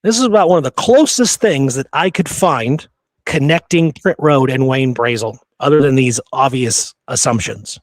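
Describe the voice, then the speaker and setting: A man's voice: mid-range voice, normal speed, dry recording. A man hosting a history show, telling stories conversationally from his research notes with casual, amused asides.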